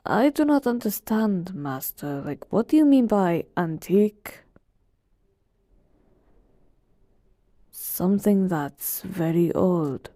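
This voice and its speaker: A woman's voice: lowish voice, animated, measured pace, mellow, no echo, studio quality. A woman voicing an ancient, all-powerful genie who talks casually and teasingly to a master in a roleplay monologue. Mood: puzzled. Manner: casual, teasing, conversational asides. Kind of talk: in-character roleplay monologue to the listener.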